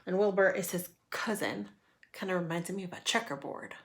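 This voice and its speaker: A woman's voice: on the low side, normal speed. A woman reading a children's picture book aloud as a storyteller.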